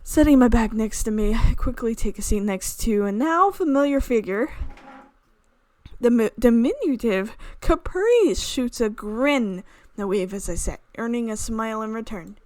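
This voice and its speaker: A woman with a slightly high voice hosts a gaming playthrough, reading a visual novel aloud. She is playful, drops in casual asides and often trips over a word before fixing it. The sound is dry, with very little room. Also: expressive, moderate pace, a little mellow, dry recording. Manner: playful, stumbles and corrects words while reading. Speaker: gaming channel host.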